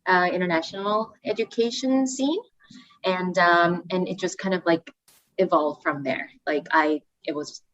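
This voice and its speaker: Woman, low voice, chatty guest with fillers, dull lo-fi sound. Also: a bit bright. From Korea. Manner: friendly, chatty, many fillers. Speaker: guest, student recruitment manager. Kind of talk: podcast interview.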